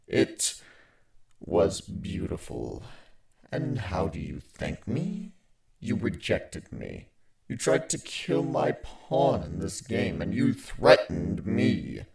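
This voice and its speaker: A man voicing a giant, coolly gloating male spider villain with a gravelly voice and slow, theatrical menace in a dramatic story reading. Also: deep voice, voice pushed down, exaggerated voice, rough recording. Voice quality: gravelly, creaky.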